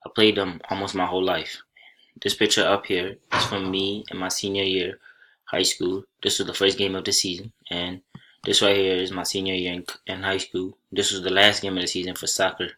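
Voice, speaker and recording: A young college student from Senegal, raised speaking Soninke, walks his class through a slide presentation. His voice sits low and holds a bright, forward edge. He talks plainly and without hurry, and now and then he starts a phrase over. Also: little pitch movement, rough recording. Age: young adult. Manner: plain, unhurried, with small restarts.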